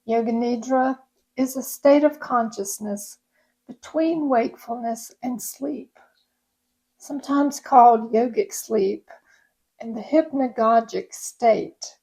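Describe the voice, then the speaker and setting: A woman yoga nidra instructor leading a guided meditation in a gentle, soothing, unhurried way. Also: fairly high pitch, gravelly, low-quality recording.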